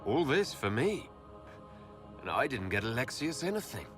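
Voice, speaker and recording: An actor playing a blunt, sceptical elven hero in a video game, direct and dry in manner. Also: male voice, medium pitch, sing-song, moderate pace, fairly bright tone. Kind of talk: video game cutscene acting. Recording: music under the voice.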